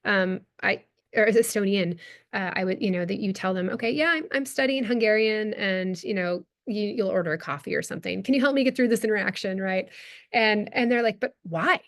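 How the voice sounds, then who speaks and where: A woman's voice: medium pitch, brisk. An American woman in her thirties, an anthropologist answering audience questions at a talk, with many fillers, restarts and laughs. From United States. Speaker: guest speaker, anthropologist. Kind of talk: lecture Q&A answer.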